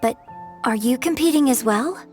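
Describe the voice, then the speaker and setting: An actress playing a poised young opera singer who speaks politely and formally. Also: female voice, sits high, normal speed, darkish tone, soft background music, lightly acted. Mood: courteous. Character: poised, courteous young woman opera star. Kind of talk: game voice acting.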